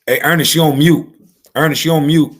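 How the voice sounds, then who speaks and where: A man hosting a casual panel discussion on a video call, talking in halting, rambling runs full of fillers. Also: a little high, steady tone. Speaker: panel host.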